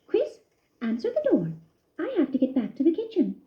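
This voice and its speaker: A woman voicing a busy mother mouse who talks briskly. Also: high-pitched, huge pitch swings, reverberant, pitched above the performer's own voice. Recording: dull lo-fi recording.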